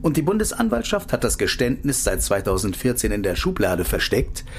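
An actor playing a secretive, insistent informant in a radio play, speaking deliberately and emphatically. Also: male voice, mid-range voice, big pitch swings, close to an everyday voice. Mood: grave, matter-of-fact. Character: secretive male informant leaking intelligence papers, guarded, insistent. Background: music.

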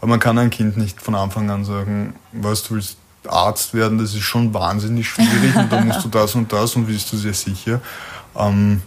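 A man's voice: lowish voice, level tone, normal speed. A man with an Austrian German accent co-hosting an unscripted chat about films and series, explaining his opinions in an informal way. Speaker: podcast co-host.

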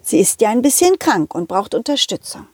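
A woman's voice: fairly high pitch, sing-song, moderate pace, breathy edge, no room sound, clean studio sound. A woman voicing a cheerful, trusting male dog who chattily tells children's stories in a childlike, direct way. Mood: cheerful, encouraging. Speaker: storytelling puppet character, host. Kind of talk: lively children's audio story narration.

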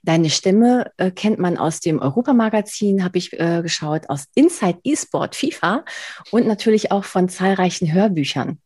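Woman host from Spain, effusive and chatty, slightly low husky voice, dry close sound. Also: lively intonation, moderate pace, no echo. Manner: chatty, laughs often, warm and effusive. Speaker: podcast host. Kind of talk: casual interview chat.

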